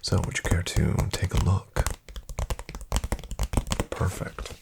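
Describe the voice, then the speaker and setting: A man playing a suave, flattering financial advisor in a soft-spoken ASMR roleplay, hushed, courteous and unhurried, often near a whisper. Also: very noisy, close to an everyday voice. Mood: businesslike, hushed. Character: suave, flattering male financial advisor to wealthy elite clients.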